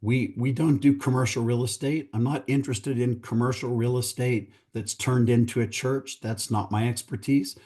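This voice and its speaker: Brief: hoarse middle-aged man presenting to pastors on a video call, earnest and conversational, mellow low tone. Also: steady tone, normal speed, few pauses. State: losing his voice, hoarse. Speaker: church real estate company founder.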